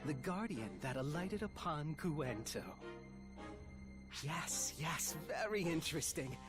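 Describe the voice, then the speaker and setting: An actor playing a smug, polite and cruel young sorcerer villain in a video game, with theatrically courteous condescension. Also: male voice, fairly high pitch, expressive, takes it slowly. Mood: smug, intrigued. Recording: loud game music behind voice.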